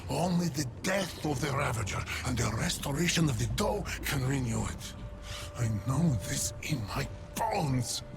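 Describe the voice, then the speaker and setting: An actor playing an ancient, plague-corrupted forest elder in a video game, solemn, weary and mournful, with breathy, archaic, deliberate speech that sinks toward whispers. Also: male voice, medium pitch, lively intonation. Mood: grave, ominous. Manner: grave, archaic, deliberate storytelling with long pauses. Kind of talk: video game character dialogue, dramatic lore monologue. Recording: loud background music under the voice. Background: music.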